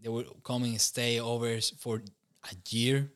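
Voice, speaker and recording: A young man from Costa Rica speaks English with a Costa Rican Spanish accent. He talks at a middle pitch in a conversational interview, and he laughs often and restarts his phrases as he tells his own story. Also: flat delivery, normal speed, smooth. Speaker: podcast guest.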